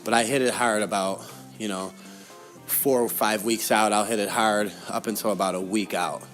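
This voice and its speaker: Low-voiced young man, casual interview guest. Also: steady tone, normal speed, fairly bright tone, soft background music. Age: young adult. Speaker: interview guest, professional fighter.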